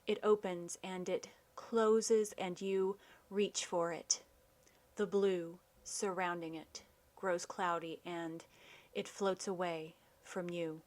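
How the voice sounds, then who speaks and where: Measured woman reading poetry. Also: mid-range voice. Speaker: host of a poetry radio program. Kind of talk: scripted narration and poetry reading.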